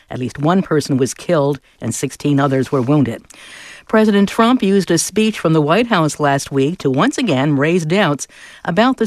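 A woman newscaster reading a national news bulletin with a steady, measured delivery. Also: low voice, dry recording.

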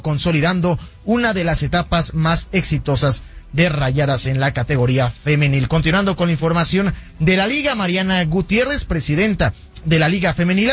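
Husky man, higher voice, radio sports news reader. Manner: steady, formal newsreader delivery. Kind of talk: scripted news reading.

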